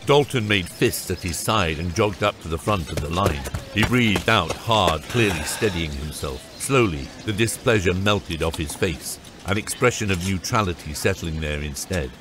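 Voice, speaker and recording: Guy narrating a fantasy audiobook, deeper voice, clear music under it. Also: lively intonation, moderate pace, hardly any pauses.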